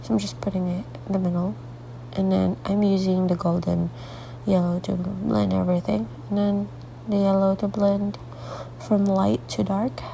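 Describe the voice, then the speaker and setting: A woman hosts a casual coloring tutorial, her congested voice heavy with gravelly fry and a dark, mellow tone. She rambles softly, drifting into half-whispered asides. She sounds sleepy, talking late into the night. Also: medium pitch, flat delivery, moderate pace, slight background noise, low-quality recording. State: congested, stuffy nose. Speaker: artist hosting a coloring tutorial.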